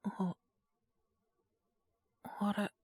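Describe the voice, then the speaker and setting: A woman playing a sick young male schoolteacher in a Japanese audio drama, gentle and flustered, speaking hesitantly in a weak, feverish mumble. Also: dry recording. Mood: groggy. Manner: hesitant, mumbling, trailing off with many pauses. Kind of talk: Japanese boys' love situation voice drama.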